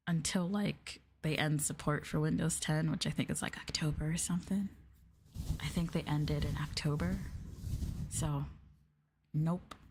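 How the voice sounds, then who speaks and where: A woman streamer talking softly and gently in an ASMR live chat, often dropping into a whisper, close to the microphone. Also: sits low, a little hiss or hum. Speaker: VTuber streamer. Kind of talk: soft-spoken ASMR livestream chat.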